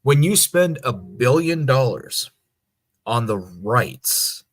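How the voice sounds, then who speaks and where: A man's voice: medium pitch, very animated, slow pace, slightly rough. A man co-hosting a podcast, chatting casually and informally. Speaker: podcast co-host. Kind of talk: casual chat.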